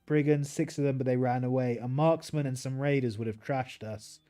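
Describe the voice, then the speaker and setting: A man's voice: mid-range voice, steady tone, brisk, mellow, soft background music. Easygoing British man streaming a game. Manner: easygoing, chats with viewers while playing. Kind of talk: relaxed gameplay livestream commentary.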